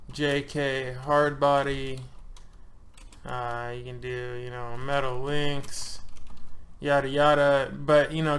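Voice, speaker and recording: A man's voice: medium pitch, fairly bright tone. A man in his thirties, the host of a hobby channel, walking viewers through an online form in a loose, chatty way, with frequent ums and uhs and the odd joke. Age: 30s. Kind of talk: casual screen-share walkthrough.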